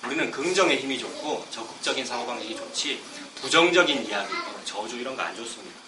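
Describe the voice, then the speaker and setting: A middle-aged man with a cold preaching a sermon, chatting casually between slow scripture readings. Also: mid-range voice, lively intonation. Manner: conversational, self-deprecating asides between slow scripture reading. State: has a cold, coughing. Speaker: pastor. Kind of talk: expository sermon. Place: church sanctuary. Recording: roomy, distant mic with background noise.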